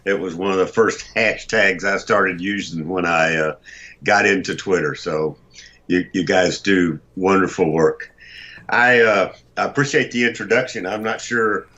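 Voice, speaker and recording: An older man with a very deep, low voice, talking about himself as a guest in a self-deprecating, joking way. His sound is muffled and lo-fi, like an online broadcast. He speaks casually, with restarts. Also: unhurried, pushed and bright. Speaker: internet radio host. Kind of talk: casual chat.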